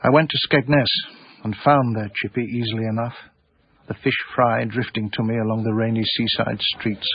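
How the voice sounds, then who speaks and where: An actor playing a genteel, melancholy middle-aged English art dealer in a radio drama, literate and precise in manner. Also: male voice, medium pitch, little pitch movement, normal speed, slightly rough, no echo, muffled recording. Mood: wistful. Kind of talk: radio drama narration and dialogue.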